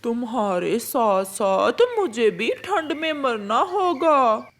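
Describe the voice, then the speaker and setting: A woman's voice: a little high, takes it slowly, voice pushed down. A woman voicing a hesitant, grumbling male crow husband in a children's cartoon, plaintive in manner. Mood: glum.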